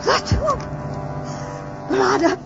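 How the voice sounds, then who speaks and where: A woman's voice: very high voice, expressive, slow pace, vocal fry, full character voice. An actress playing a terrified, emotional young woman in a 1950s radio drama. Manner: breathless, emotional, crying out. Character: terrified young woman pleading with an alien robot. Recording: dull vintage radio recording with music behind.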